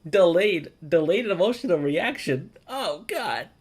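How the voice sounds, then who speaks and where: A woman's voice: lowish voice, expressive, measured pace, darkish tone, slightly rough. A woman streaming a game with casual, sweary, emotionally reactive commentary, talking back to the game. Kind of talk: casual live playthrough commentary. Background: game music.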